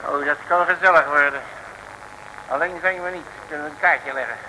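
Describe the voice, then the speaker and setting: An actor playing a blustering, grumbling detective in a radio serial, excitable and folksy in his speech. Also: fairly high pitch, brisk, poor audio. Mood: wry. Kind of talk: 1950s-60s radio serial acting. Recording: old radio recording, faint noise.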